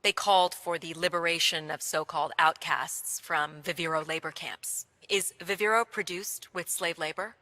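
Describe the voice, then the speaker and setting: A woman's voice: lowish voice, steady tone, normal speed, hardly any pauses, pushed and bright. A woman playing an unflinching middle-aged American journalist in a video game, asking pointed questions in a composed, direct way. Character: probing, unflinching American female television journalist. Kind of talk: interview in a video game cutscene. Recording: reverberant hall.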